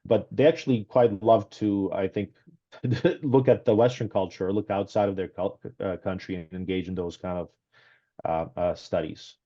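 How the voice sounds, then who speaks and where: Low, dark-voiced man on a muffled call. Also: normal speed. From Serbia, raised in Canada. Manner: hesitant, many ums and restarts. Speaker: guest, author. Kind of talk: casual conversational interview. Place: small room at home. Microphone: headset. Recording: dull lo-fi video call audio.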